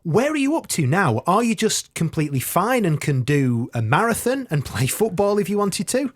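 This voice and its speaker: A man's voice: high-pitched, sing-song, normal speed. A man interviewing a guest on the radio in a conversational style. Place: radio studio.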